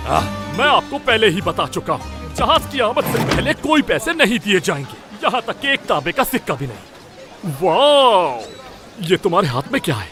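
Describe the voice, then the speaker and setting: A man's voice: sits high, big pitch swings, moderate pace, over music. A man voicing a boastful, theatrical ship captain in a cartoon dub, pompous and dramatic. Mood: haughty, greedy. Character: boastful, theatrical male ship captain telling tall tales. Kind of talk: Hindi cartoon dub acting.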